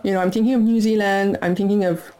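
A native French-speaking woman with a French Canadian accent, discussing things in a measured, thoughtful way. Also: medium pitch, steady tone, warm tone.

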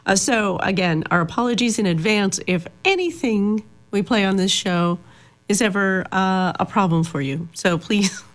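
Woman radio host, mid-pitched voice, relaxed and halting, chatty studio talk. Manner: hesitant, many fillers, light laughs. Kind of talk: casual radio chat.

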